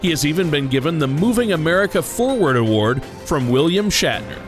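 Male promo announcer, lively pitch, faint music bed. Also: fairly quick. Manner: upbeat, polished announcer delivery. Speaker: show announcer. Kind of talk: scripted promo narration.

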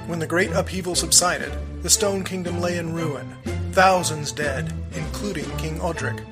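A man reading a dramatic fantasy recap and introducing the next segment in a measured, solemn way. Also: fairly high pitch. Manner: measured, solemn storytelling delivery. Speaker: narrator and announcer. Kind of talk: dramatic scripted narration. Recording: narration over music.

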